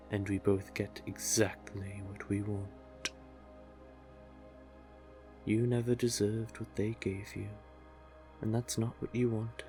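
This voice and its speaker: Slow talking merman sea witch, guy acting it, soothing n coaxing, hypnotic audio drama w music behind. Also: fairly low pitch, a little mellow. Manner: soothing, coaxing, teasing, deliberate. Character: seductive, doting, manipulative male sea witch, a scaled merman.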